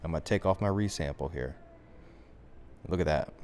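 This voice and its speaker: Low-voiced man giving a software tutorial. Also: normal speed, a little hiss or hum. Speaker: instructor. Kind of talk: software tutorial, talking through steps.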